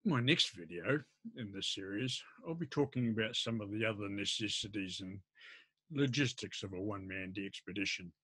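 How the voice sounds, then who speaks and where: Older kiwi guy explaining stuff to camera, lowish voice, kinda halting, really dry close sound. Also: pushed and bright, breathy edge, no echo. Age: older, around 70s. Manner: halting, hesitant delivery. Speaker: amateur radio vlogger. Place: indoors at a desk.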